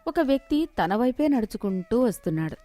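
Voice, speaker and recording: A woman's voice: a little high, very animated, fairly quick, warm tone, faint music behind. A woman reading scripted narration as the storyteller of a moral tale.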